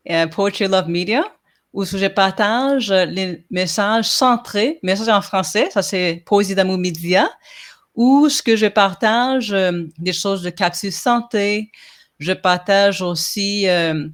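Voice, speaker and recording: A woman from Montreal whose first language is English, a podcast guest talking in a casual interview, hesitant with many fillers and restarts. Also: mid-range voice. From Montreal, Quebec.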